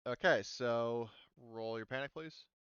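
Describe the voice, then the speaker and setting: A man's voice: mid-range voice, measured pace, a bit bright, clean tone, dry recording, highs cut off. A man running a tabletop game as game master, talking casually and thinking aloud as he checks the rules.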